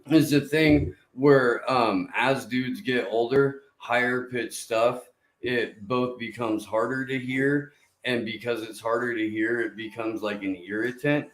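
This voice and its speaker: A man's voice: medium pitch, fairly even intonation, measured pace, echoey room, poor audio. A man hosting a podcast in casual chat, profane and rambling. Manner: profane, laid-back, rambling. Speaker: podcast host.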